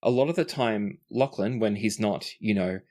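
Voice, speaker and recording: A man's voice: lowish voice, normal speed, a little mellow. A man narrating a tabletop role-playing game as game master.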